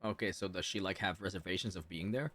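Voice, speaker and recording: A man's voice: deep voice, fast talker, fairly bright tone. A man giving casual live commentary on a game, playful and reactive, with muttered asides. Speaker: game streamer.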